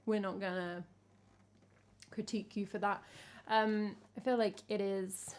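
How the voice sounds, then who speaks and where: A woman from England with a croaky voice, chatting casually with her live-stream chat as a singer-songwriter and teasing herself as she goes. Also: mid-range voice, level tone, a little slow, darkish tone. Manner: chatty, self-teasing, hums melody snippets.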